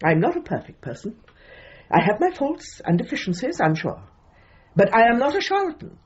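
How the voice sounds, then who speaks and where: An actress playing an opinionated older British dog trainer, a widow who speaks formally and precisely in a radio comedy drama. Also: female voice, a little high, big pitch swings, moderate pace, a little mellow, lo-fi sound, slight background noise. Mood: indignant, world-weary. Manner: formal, emphatic, precise, old-fashioned phrasing.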